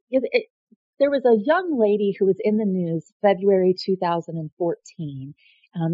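A woman's voice: mid-range voice, no room sound. A middle-aged woman hosting her own show, talking straight to the viewer in a rambling, casual way and often restarting her sentences. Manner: rambling with frequent restarts and repeated words. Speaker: host and self-described presidential candidate. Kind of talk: casual direct-to-camera monologue. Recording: dull lo-fi dry sound.

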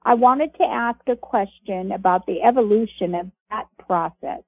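Woman reader, deliberate and unhurried, slightly husky, muffled telephone sound. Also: mid-range voice, no echo. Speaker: reader of archived messages on a conference call. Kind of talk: reading a transcript aloud. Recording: muffled phone-line audio.

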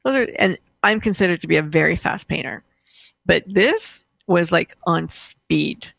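Gravelly, lower-pitched woman telling stories. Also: unhurried, no room sound. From Austria. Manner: animated storyteller, acting out reported dialogue. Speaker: guest, painter and coach. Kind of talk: casual interview storytelling.

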